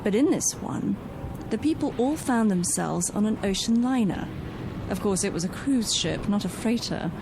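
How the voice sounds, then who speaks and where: Lively, genteel lady passenger. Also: mid-range voice, moderate pace, vocal fry. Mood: chatty, musing. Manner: genteel, gently inquisitive. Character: polite, composed young lady passenger aboard a freighter. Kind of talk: radio drama acting. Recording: noisy audio drama with ship ambience.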